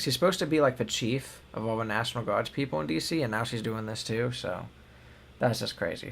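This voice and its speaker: A man's voice: on the low side, gravelly. A young man co-hosting a news show, reading headlines and then giving loose, filler-heavy opinions. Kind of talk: casual news commentary.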